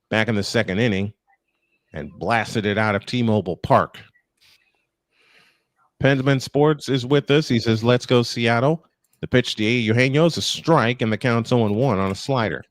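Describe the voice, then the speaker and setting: A man's voice: on the low side, no echo. A man calling a baseball game live as play-by-play host, slipping between commentary and casual chat.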